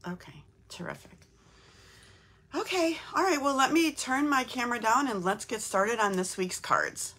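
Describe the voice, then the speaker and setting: A woman from Texas in her fifties, slightly hoarse from a cold, chatting casually while hosting a live craft demo from her home craft room. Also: on the high side, animated, moderate pace, pushed and bright. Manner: chatty, upbeat, lots of "um"s.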